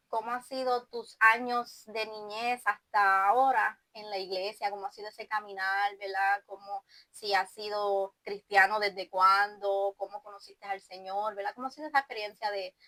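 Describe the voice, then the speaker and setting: A woman's voice: medium pitch, pushed and bright, no echo, low-quality recording. A woman hosting a church testimony interview, encouraging and affirming her guest with frequent "amén". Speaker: interview host.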